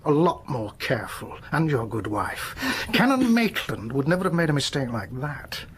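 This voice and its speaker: A man's voice: mid-range voice, sing-song, normal speed, a crowd in the background, lightly acted. An actor playing a stern, older churchman with a pointed, formal, admonishing manner in a radio drama. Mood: disapproving. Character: stern, reproachful old male churchman. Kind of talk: radio horror drama acting. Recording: noisy radio drama soundtrack.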